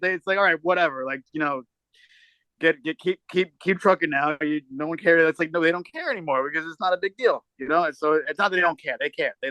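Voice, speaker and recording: A man's voice: a little high, a bit bright, no echo. Dry-sounding man in his thirties. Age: 30s. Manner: candid, swears casually. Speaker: podcast co-host. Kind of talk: casual chat.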